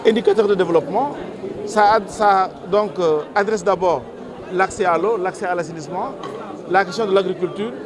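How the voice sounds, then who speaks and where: A man, a technical agency official, explaining things formally and methodically to the press. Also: high voice. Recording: busy crowd behind, public space.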